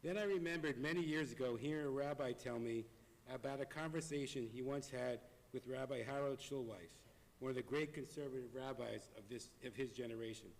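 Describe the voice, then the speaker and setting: A hoarse man delivering a prepared, earnest appeal to a congregation in a synagogue. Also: mid-range voice. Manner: earnest, measured, reading from notes. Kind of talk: prepared speech. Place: synagogue sanctuary. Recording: long hall reverb.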